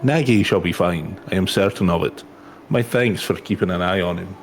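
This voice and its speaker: A man voicing a kindly, poetic wandering minstrel from a fantasy game in flowery, measured speech. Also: low-pitched, close to an everyday voice. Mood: reflective, reassuring. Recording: music in the background.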